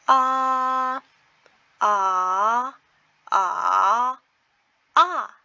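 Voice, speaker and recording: A woman walks beginners through a lesson at an unhurried, deliberate pace, patiently repeating each sound. Her voice sits a little high and has a bright, forward edge. The recording is slightly dull and lo-fi. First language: English. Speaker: language teacher. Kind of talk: slow explanatory lesson with pronunciation drills.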